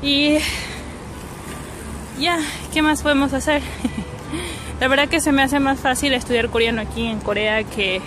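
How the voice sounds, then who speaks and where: High-voiced Ecuadorian woman vlogging outdoors. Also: fairly even intonation, unhurried. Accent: Ecuadorian Spanish. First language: Spanish. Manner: chatty, giggly. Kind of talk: casual vlog chat. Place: outdoors on a riverside path at night. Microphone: handheld phone or camera. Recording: music behind, handheld outdoor recording.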